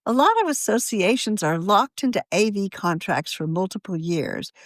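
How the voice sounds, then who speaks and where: A woman narrates a written article for a podcast in a measured, articulate reading style. Her mid-range voice moves through a lively, varied intonation. The sound is dry, with very little room in it. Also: mid-range voice, dry recording. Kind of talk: scripted narration.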